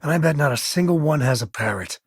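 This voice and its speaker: An actor playing an easygoing, wry space cowboy and single father in a video game, husky and rough-edged with a folksy, conversational manner. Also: on the high side, expressive, quick pace, dry recording, studio quality. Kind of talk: video game character dialogue.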